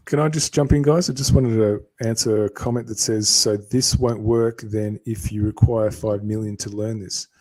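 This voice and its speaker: A man's voice: fairly low pitch, fairly even intonation, moderate pace, few pauses, darkish tone. A man on a live stream, answering viewer comments in a lecturing way.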